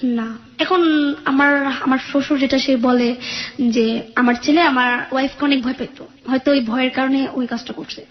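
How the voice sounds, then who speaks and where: A woman's voice: high-pitched, fairly even intonation, normal speed, muffled recording. A young woman with a Bangladeshi accent giving a personal account as an interview guest. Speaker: guest telling her life story.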